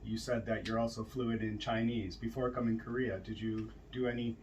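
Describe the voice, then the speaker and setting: A man's voice: lowish voice, little pitch movement, fairly quick, distant mic, slight background noise, rough recording. A man hosting a casual podcast interview at a friend's home, chatty and joking, with lots of fillers. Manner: loud, jokey, filler-heavy with many yeahs. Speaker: podcast host.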